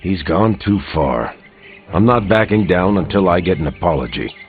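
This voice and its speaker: Deep-voiced stubborn hero, thin audio. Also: male voice, expressive, moderate pace, slight background noise. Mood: aggrieved. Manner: indignant, petty seriousness. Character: proud, aggrieved, stubborn male superhero. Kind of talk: video game dialogue acting. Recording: thin phone-like game audio, music, birdsong.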